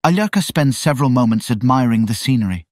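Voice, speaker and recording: A man's voice: mid-range voice, expressive, fast talker, a little mellow, dry recording, studio quality. A man narrating scene directions for an audio drama in a scripted style.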